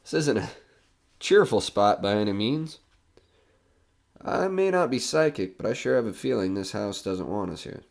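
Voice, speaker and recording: A man's voice: fairly low pitch, lively intonation, moderate pace, creaky. A man playing a nervous, skeptical broadcaster alone in a haunted house in an audio horror drama, rambling and joking anxiously. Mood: uneasy.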